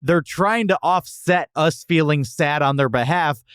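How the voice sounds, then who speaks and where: A man's voice: on the high side, unhurried, a bit bright, clean tone, no room sound, studio quality. A man co-hosting a comic podcast, chatting casually in a joking, sarcastic way. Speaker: podcast co-host. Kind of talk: casual comic review chat.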